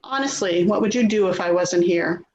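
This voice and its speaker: A woman's voice: on the low side, moderate pace, lightly acted. Woman acting exasperated daughter, echoey dull recording. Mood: alarmed, nagging. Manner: nagging, put-upon. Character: exasperated, worrying adult daughter of an elderly mother. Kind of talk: readers theater stage-play acting. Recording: echoey room, dull sound, faint noise.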